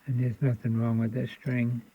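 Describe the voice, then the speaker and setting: Gravelly man explaining at a workbench. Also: medium pitch, monotone, moderate pace. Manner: rambling, with frequent ums and restarts. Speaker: radio restoration hobbyist host. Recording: tinny phone-like sound.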